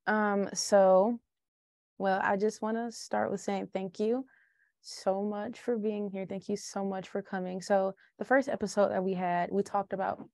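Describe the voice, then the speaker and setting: A woman's voice: medium pitch, flat delivery, a little slow, darkish tone, no echo, clean studio sound. A young woman hosting a podcast interview, talking in a casual, informal way. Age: young adult. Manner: casual and informal, filler-heavy. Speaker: podcast host, college student.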